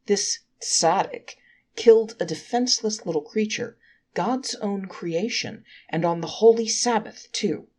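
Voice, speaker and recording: Woman acting male accusing angel, storybook prosecutor, declamatory, indignant, husky. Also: medium pitch, measured pace, a little mellow, pitched above the performer's own voice. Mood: triumphant, indignant. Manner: declamatory, theatrical.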